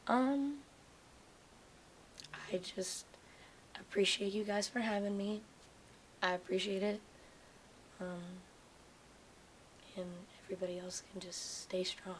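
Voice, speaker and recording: A young woman guest on a casual podcast, answering briefly and low-key. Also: fairly even intonation, slow, long pauses. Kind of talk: casual interview. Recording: faint hiss.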